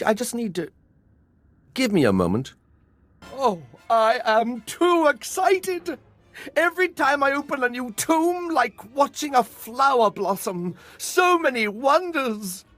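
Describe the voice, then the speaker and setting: An actor playing a giddy, scholarly tomb-raiding archaeologist in a video game, effusive and theatrical in manner. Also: male voice, extremely high pitch, sing-song, slow, fairly bright tone, full character voice. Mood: giddy wonder. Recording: music behind the voice.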